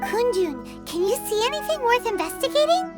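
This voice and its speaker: A woman voicing a small floating fairy companion who is excitable, bossy and refers to herself in the third person. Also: very high-pitched, moderate pace. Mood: chirpy. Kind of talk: video game voice acting. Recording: game audio with loud music.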